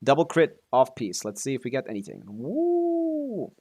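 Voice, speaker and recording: A man's voice: mid-range voice, lively intonation, quick pace, darkish tone. A man casually commentating on a game livestream, swearing freely as he reacts aloud and talks to himself and his chat. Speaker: game streamer.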